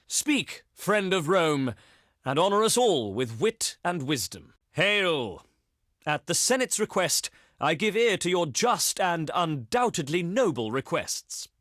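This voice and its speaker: An actor playing a haughty, contemptuous Roman senate diplomat in a strategy game, speaking in a pompous, theatrical, oratorical way. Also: male voice, a little high, very animated, slow, a bit bright, dry recording, clean studio sound, full character voice. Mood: formal, gracious.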